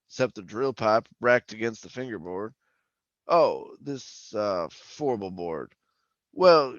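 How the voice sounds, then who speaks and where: A man's voice: mid-range voice, dry recording, muffled recording, lightly acted. An actor playing a folksy, talkative oil-rig worker who tells his eerie tale in colloquial radio horror drama style. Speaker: actor and narrator. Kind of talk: old-time radio horror drama acting and first-person narration.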